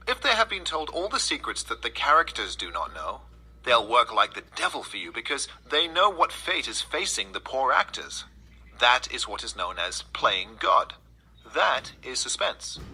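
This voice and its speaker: A man reads a scripted essay aloud in a very bright, forceful voice with a husky edge. His pitch moves in a lively way, and he phrases each point with deliberate emphasis. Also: poor audio. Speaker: reader reading an article aloud. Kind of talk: scripted read-aloud of a first-person essay.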